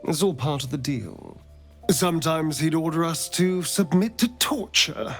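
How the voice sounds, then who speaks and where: An actor playing a haunted, sardonic centuries-old vampire spawn in a fantasy game, speaking with bitter wit and dramatic pauses. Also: male voice, fairly high pitch, fairly bright tone, faint music behind. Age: centuries-old undead. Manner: theatrical, wry, bitter, deliberate with dramatic pauses.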